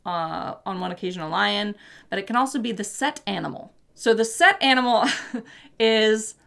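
Woman co-host, casual explainer, fillers and hedges, gravelly creak. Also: medium pitch, expressive, moderate pace, fairly bright tone. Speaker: podcast co-host. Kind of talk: casual chat about mythology.

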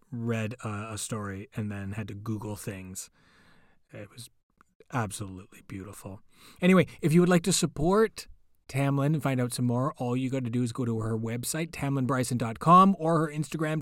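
A man hosts a podcast and wraps up the episode, heaping joking praise on the reader and running through his plugs. His voice is mid-pitched and loose, and it is recorded dry, with no room sound around it. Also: moderate pace, fairly bright tone, dry recording. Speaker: podcast host. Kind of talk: podcast outro with promotions.

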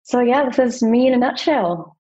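A woman in her thirties who grew up in Dubai, a dietitian chatting as a guest with plenty of ums and quick asides. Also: a little high, lively intonation, dark tone, slightly rough, dull audio. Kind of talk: casual interview chat.

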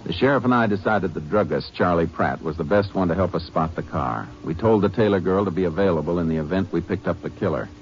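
An actor playing a calm, steady Texas Ranger who patiently questions a witness in a 1950s radio drama. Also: male voice, sits low, quick pace, hardly any pauses. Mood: narrating. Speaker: narrator and lead. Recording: dull old radio broadcast with faint hiss.

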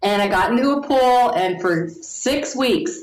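A woman in her forties telling her story to an interviewer, animated, laughing often and acting out the people she quotes. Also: fairly high pitch, a little slow. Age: 40s. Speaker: guest. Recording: echoey room.

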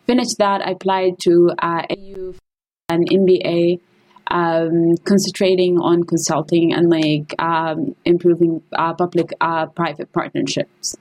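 A woman's voice: on the low side, flat delivery, measured pace, darkish tone, rough recording. A young woman from Saudi Arabia, a graduate student and podcast guest, who talks casually and hesitantly with many fillers and restarts. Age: young adult.

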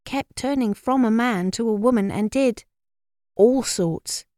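A woman's voice: mid-range voice, fast, darkish tone, dry recording, clean studio sound, pitched above the performer's own voice, close to an everyday voice. A woman voicing a smug schoolgirl gossip who talks with a self-important, knowing air in a storybook reading. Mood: smug, conspiratorial.

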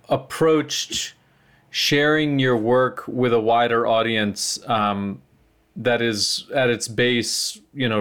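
Man, interviewer, smooth clear voice, mid pitch, hesitant phrasing. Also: a bit bright. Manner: hesitant, restarts questions. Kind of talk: interview questions.

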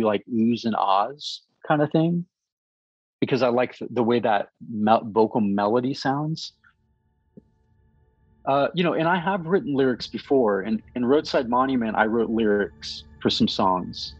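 A man in his fifties, a musician answering interview questions in an earnest, thoughtful way, often pausing and restarting. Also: mid-range voice, husky, dry recording. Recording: slightly dull recording.

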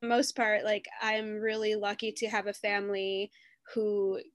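A woman's voice: mid-range voice, flat delivery, normal speed, dry recording, studio quality. A woman musician and podcast guest whose first language is Arabic, talking candidly and laughing easily. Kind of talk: podcast interview.

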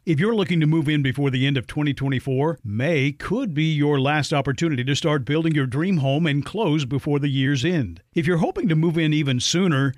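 A man's voice: fairly high pitch, no echo, clean studio sound. A man reading a scripted commercial as an announcer in a polished, promotional manner. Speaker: advertising announcer. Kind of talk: scripted home builder commercial read.

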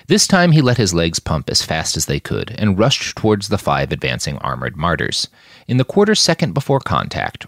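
A man narrating fiction in an expressive audiobook style, acting out the dialogue as he reads. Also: low voice, dry recording, studio quality. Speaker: fiction podcast author and narrator.

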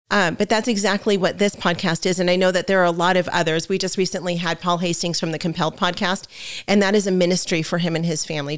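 A woman hosting a podcast in a casual, effusive way, her voice raspy as she gets over a head cold. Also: lowish voice. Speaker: podcast host. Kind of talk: casual, friendly chat.

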